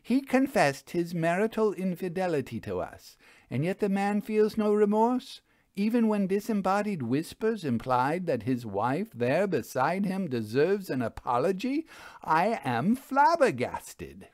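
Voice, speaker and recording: Storybook lady sleuth played by a man: lifted pitch, emphatic, inquisitive, theatrical, old-fashioned wording. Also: big pitch swings, unhurried, darkish tone, dry recording, clean studio sound. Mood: indignant. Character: forthright, theatrical woman ghost investigator, wry and inquisitive.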